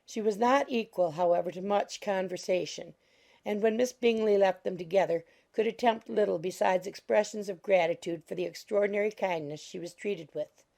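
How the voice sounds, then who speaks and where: A woman's voice: mid-range voice, moderate pace, vocal fry, mellow. A woman narrating a literary audiobook from a script.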